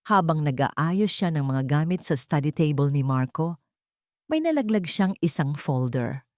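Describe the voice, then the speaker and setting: A woman's voice: low-pitched, big pitch swings, unhurried, a little mellow, no room sound, studio quality. A woman narrating a scripted romance story as a dramatic storyteller.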